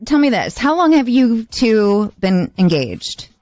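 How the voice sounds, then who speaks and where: A woman's voice: fairly high pitch, very animated, slow pace, darkish tone, no room sound. A woman co-hosting a radio show with casual, conversational banter. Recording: dry muffled lo-fi, music underneath.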